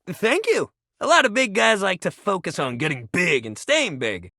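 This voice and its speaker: A man's voice: high-pitched, sing-song, moderate pace, vocal fry, pushed and bright, no echo, clean studio sound, exaggerated voice. An actor playing an upbeat, friendly but sensitive young male sports jock in a video game, talking in casual, emphatic bro speak. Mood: upbeat, encouraging. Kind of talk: video game character dialogue.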